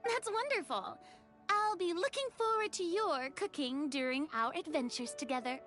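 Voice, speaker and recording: A nervous, theatrical young noblewoman from a video game, hesitant yet dramatic. Her voice is high and bright, with faint music behind it. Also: moderate pace. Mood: pleased.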